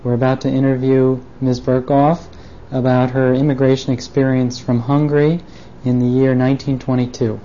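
A woman with a very low, dark voice conducts a formal oral history interview. She phrases her questions hesitantly. The 1980s tape sounds dull. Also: flat delivery, normal speed, hardly any pauses, slight background noise.

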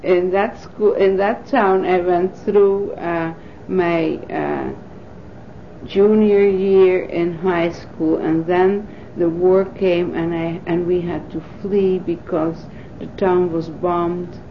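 A woman in her fifties from the Netherlands, a native Dutch speaker, recalling her life in an oral history interview with thoughtful pauses and fillers. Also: lowish voice, fairly even intonation, slight background noise. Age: 50s. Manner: thoughtful, pausing with frequent fillers. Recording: dull lo-fi 1980s tape recording.

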